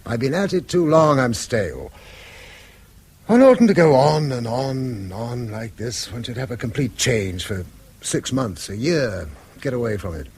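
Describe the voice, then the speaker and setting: A middle-aged British schoolmaster, weary and bitter. His husky voice rises and falls widely as he speaks in eloquent, self-reproaching phrases. It is radio drama acting. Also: medium pitch, lively intonation, measured pace, darkish tone. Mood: weary, despairing. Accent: British English. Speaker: actor.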